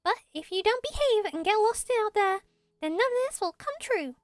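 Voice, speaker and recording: Lady doing a tiny excitable fairy sidekick for a game, super high voice, real dry sound, bit of music under it. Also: normal speed, no room sound. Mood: excited. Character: small excitable childlike fairy companion, chatty, speaks in third person.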